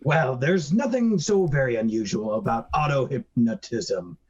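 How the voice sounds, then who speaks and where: A man playing an earnest, authoritative police detective in an amateur radio play, with a husky voice. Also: medium pitch, expressive, a little slow, dull audio.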